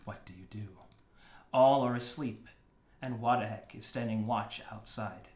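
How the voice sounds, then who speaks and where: A man running a tabletop game as game master, narrating slowly and softly. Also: on the low side, roomy sound. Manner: slow, hushed narration.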